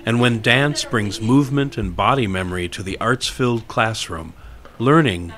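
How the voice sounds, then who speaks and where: An American man reading scripted documentary narration in a measured, deliberate way. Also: lowish voice, a bit bright. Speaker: promotional video narrator. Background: music.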